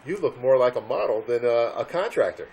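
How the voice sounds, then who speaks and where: A man hosting a business interview show, speaking haltingly with many fillers and "all right" asides. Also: medium pitch, animated, darkish tone, breathy edge. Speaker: host.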